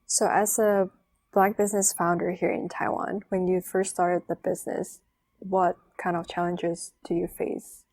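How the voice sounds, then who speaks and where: A woman's voice: on the low side, flat delivery, unhurried, darkish tone, no room sound, rough recording. A woman hosting a podcast, asking short questions and listening attentively. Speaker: podcast host. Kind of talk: casual interview.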